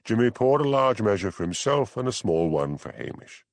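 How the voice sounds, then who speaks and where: A man narrating a mystery novel as an audiobook, with measured, expressive storytelling. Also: lowish voice, dry recording.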